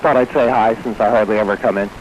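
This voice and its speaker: A man's voice: fairly low pitch, steady tone, quick pace. A man chatting casually as a CB radio operator over a two-way link, grumbling but good-humored. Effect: two-way radio transmission. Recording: noisy weak CB radio signal.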